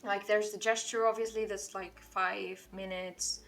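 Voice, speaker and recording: A Portuguese woman with her accent, chatting in English while she draws on a livestream. She talks fast and rambles, sprinkling in "like" and catching herself mid-sentence, at a middling pitch. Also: little pitch movement, creaky, fairly bright tone. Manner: fast-talking, rambling, with frequent "like" and self-corrections. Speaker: artist streaming a drawing session. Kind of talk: casual livestream chat while drawing.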